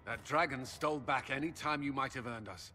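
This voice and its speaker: A man's voice: medium pitch, brisk, sharp tone, soft background music, close to an everyday voice. Man acting grim commander in echoing hall. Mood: grim urgency. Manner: firm, clipped, grave. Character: grim, resolute male military commander. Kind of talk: dramatic video game acting. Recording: long hall reverb, background ambience noise.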